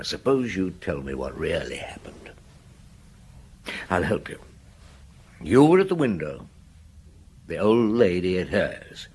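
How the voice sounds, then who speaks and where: Low, husky, shrewd police detective. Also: male voice, darkish tone, heavily acted. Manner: probing, coaxing, unhurried questioning. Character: patient, shrewd male police detective gently questioning a boy. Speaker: actor playing a police detective in a radio drama. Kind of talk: radio drama dialogue.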